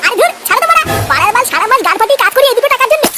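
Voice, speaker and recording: A man voicing a put-upon, quarrelsome young worker in a comic cartoon, with a pitched-up voice and whiny, complaining delivery. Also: big pitch swings, rapid-fire, over loud music. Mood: defiant. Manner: whiny, foul-mouthed complaining.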